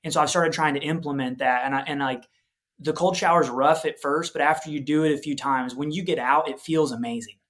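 A man's voice: fairly high pitch, fairly even intonation, fairly quick, fairly bright tone. A man in his early thirties hosting an interview podcast, talking in an upbeat, casual way. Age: 30s. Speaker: podcast host.

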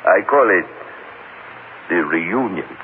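An actor playing a proud, guarded, cultured middle-aged sculptor who speaks formally and deliberately in an old-time radio drama. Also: male voice, low voice, lively intonation. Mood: proud, measured. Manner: formal, deliberate, stilted phrasing without contractions. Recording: tinny, noisy old radio broadcast.

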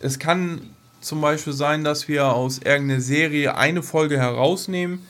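Man, middle-aged, fairly high voice, podcast co-host, casual chat, explanatory. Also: normal speed, fairly bright tone.

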